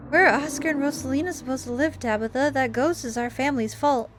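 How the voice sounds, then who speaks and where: A woman's voice: high voice, quick pace, noisy background, lightly acted. A woman voicing an earnest, stubborn young female protagonist in a visual novel game, speaking insistently. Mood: earnest, questioning.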